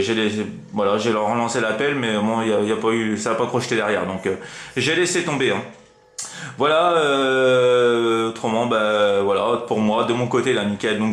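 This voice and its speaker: A man's voice: lowish voice, fairly bright tone. A man chatting casually as an amateur CB radio operator, rambling informally with frequent tag words. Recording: roomy, echoing room sound.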